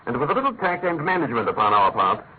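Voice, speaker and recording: An actor playing a shrewd, imperious master detective in a classic radio drama, incisive and urgent. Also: male voice, medium pitch, big pitch swings, fast, natural voice. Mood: confident. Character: brisk, commanding, shrewd male master detective. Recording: tinny, narrow-band old radio, faint noise.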